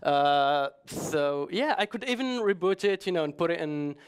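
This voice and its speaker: A man's voice: on the high side, expressive, normal speed, smooth. A man giving a conference talk in a chatty, joking way, often hesitating and restarting. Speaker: conference speaker.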